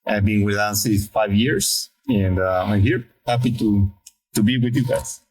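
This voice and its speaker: A middle-aged man with a Mexican Spanish accent talks in English, his first language being Spanish. He pauses and restarts often but stays friendly. His voice sits on the lower side. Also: fairly even intonation, darkish tone, low-quality recording. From Mexico City, Mexico. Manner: hesitant, many fillers and restarts, friendly. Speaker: guest, sales lead. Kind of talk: interview answers.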